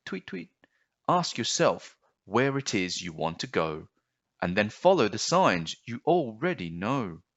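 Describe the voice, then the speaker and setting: A man voicing a small chirpy bird in a storybook, gently questioning like a guide. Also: lowish voice, very animated, measured pace, dull audio, exaggerated voice. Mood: chirpy, gentle. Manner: chirping tweets, prodding questions. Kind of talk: storybook character voice.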